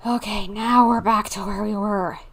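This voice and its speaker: A young woman livestreaming a video game, chatting casually in a halting, rambling, informal way. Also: medium pitch, fairly even intonation.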